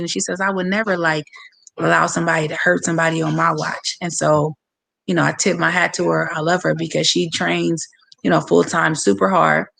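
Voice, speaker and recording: A woman from San Francisco with a hoarse voice, a boxer speaking candidly and passionately as a guest on a livestreamed interview over a video call. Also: fairly low pitch, fairly bright tone. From San Francisco, USA. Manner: candid, passionate, talks straight to viewers.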